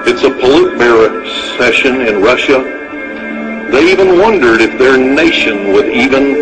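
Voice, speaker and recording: An American man delivering a dramatic radio monologue in an emphatic, deliberate manner with pointed pauses. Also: mid-range voice, low-quality recording. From United States. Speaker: radio speaker. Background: music.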